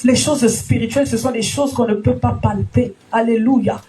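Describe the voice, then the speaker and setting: Woman preacher, high-pitched, sing-song sermon delivery, shouted peaks, faint music behind. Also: steady tone, normal speed. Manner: frequent alleluias and direct questions to listeners. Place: church gathering.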